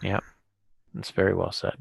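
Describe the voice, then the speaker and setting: A man's voice: low-pitched, monotone, moderate pace, vocal fry, slightly rough, no echo, faint music behind. A man hosting a podcast in a casual, easygoing, self-deprecating conversational way. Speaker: podcast host.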